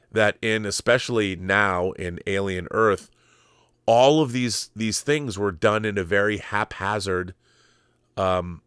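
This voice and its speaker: Low-voiced man giving emphatic film commentary. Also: fairly bright tone, no echo, studio quality. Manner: emphatic, with repeated phrases for stress. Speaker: commentator and host of a film and TV show. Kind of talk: opinionated pop-culture commentary.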